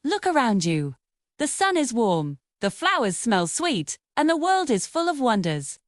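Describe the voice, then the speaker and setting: A woman voicing a cheerful, wise female parrot who gives gentle, patient guidance in a children's storybook. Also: fairly high pitch, sing-song, unhurried, no room sound, studio quality. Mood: gently wise.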